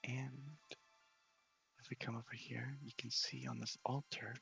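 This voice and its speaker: A man softly narrating a video game in a casual ASMR style, hushed and often near a whisper. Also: medium pitch, little pitch movement, a little slow, vocal fry, faint music behind. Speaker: host of a gaming ASMR series.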